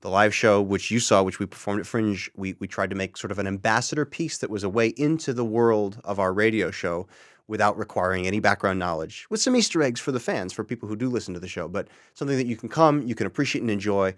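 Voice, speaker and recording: Fast-talking man, low dry voice. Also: expressive, no room sound. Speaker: audio drama creator being interviewed. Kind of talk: animated group interview.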